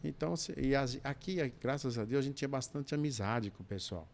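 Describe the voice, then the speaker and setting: A man's voice: medium pitch, animated, fairly quick, vocal fry, a little hiss or hum. Older Brazilian man telling rambling stories. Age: 60s. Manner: rambling, restarts often, adds asides. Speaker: podcast guest, businessman and dispatcher. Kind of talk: relaxed storytelling in a chat.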